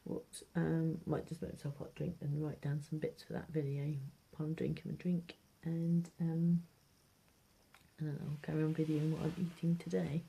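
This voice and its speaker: A woman's voice: low-pitched, little pitch movement, normal speed, creaky, mellow, slightly rough. A British woman chatting casually to camera in a food diary vlog, rambling and hesitant, often trailing off and repeating herself. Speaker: vlogger. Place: at home. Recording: noisy amateur home video.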